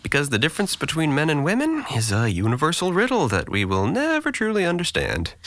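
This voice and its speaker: A man's voice: fairly high pitch, wildly swooping pitch, a little fast, a bit bright. An actor playing a depressed, sardonic young man in therapy in an audio drama, wry and guarded. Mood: gloomy, pensive.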